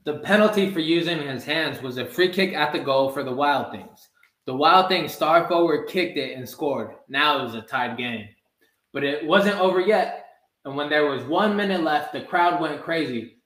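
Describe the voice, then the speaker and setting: A young man reads a children's storybook aloud in a fairly high voice. His pace is slow and measured, lifting for the characters' cries, and the room adds clear echo. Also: lively intonation, fairly bright tone. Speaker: soccer goalkeeper reading a children's story. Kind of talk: animated read-aloud storytime. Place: indoor room with blinds, sitting. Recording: roomy echo.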